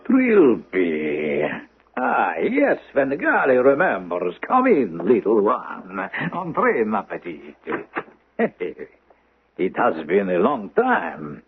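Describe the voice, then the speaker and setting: An actor playing a sinister, unctuous foreign hypnotist, oily and drawn-out in a theatrical 1970s radio drama. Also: male voice, mid-range voice, wildly swooping pitch, gravelly, full character voice. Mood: unctuous, insinuating. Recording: muffled, lo-fi old radio recording.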